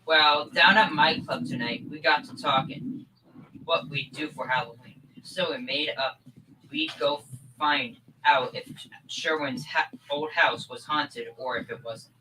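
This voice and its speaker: A man's voice: on the high side, unhurried, bright, forceful tone, low-quality recording. A young male performer playing an eager, needling son with slangy boyish talk in a school old-time radio comedy. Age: young teen. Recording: faint background noise.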